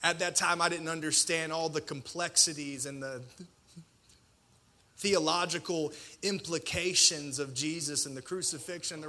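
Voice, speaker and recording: Bright-voiced male preacher with a slight stutter. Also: a little high. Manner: colloquial, self-deprecating, dramatic pauses and emphasis. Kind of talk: personal testimony sermon to an audience.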